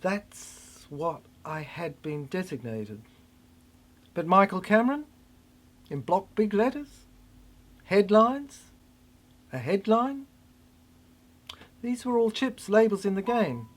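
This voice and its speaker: A performer delivering a theatrical spoken-word monologue in fragmented, halting phrases with dramatic swings. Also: mid-range voice, very animated.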